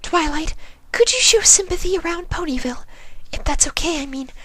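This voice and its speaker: A meek, gentle young mare from a storybook, with an extremely high, soft voice. She speaks hesitantly and apologetically, recorded close and dry. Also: expressive, fairly quick, no echo. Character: timid, gentle young female pegasus pony.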